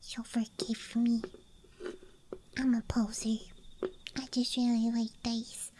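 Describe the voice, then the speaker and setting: A woman giving a hesitant, musing, self-deprecating ASMR ramble in a soft, breathy whisper. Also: fairly high pitch, level tone, slow, noisy background. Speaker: ASMR creator. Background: soft night ambience.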